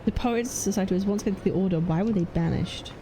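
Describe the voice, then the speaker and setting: A woman's voice: medium pitch, fast talker, mellow, slight background noise, poor audio. A woman game streamer reacting casually to a story and reading some of it aloud as she plays. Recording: game music behind the voice.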